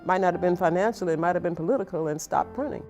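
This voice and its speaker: A woman's voice: fairly low pitch, fast, a little mellow. An older woman, a retired professor, giving explanatory interview talk. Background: music.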